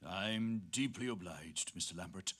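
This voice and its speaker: A man's voice: sits low, measured pace, fairly bright tone. A man playing a refined, politely grateful clergyman in a dramatic stage reading of a novel. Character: refined, courteous, grateful male clergyman visitor.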